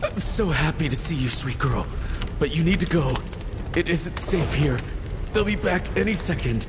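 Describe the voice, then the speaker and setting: An actor playing a wounded, gentle father in a video game, soothing and warm in his words. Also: on the high side, animated, normal speed, noisy background, lightly acted.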